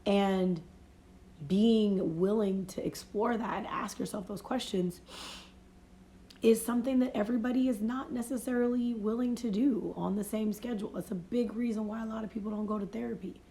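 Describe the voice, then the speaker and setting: A woman's voice: medium pitch, steady tone, moderate pace, mellow, a little hiss or hum. A woman life coach with a cold, talking casually and reflectively on a phone livestream, repeating and rephrasing her points. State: has a cold, voice fading. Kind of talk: motivational casual talk. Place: home livestream.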